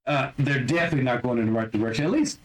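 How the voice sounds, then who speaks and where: Halting male podcast co-host. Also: mid-range voice, distant mic. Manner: halting, restarts often. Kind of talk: casual conversation.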